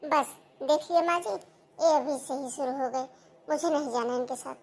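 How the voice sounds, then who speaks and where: A whiny young bahu, high voice, complains nonstop, cartoon. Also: female voice, unhurried, slight background noise. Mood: complaining. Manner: complaining, pleading. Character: young daughter-in-law, whiny and shopping-mad. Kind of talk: cartoon voice acting.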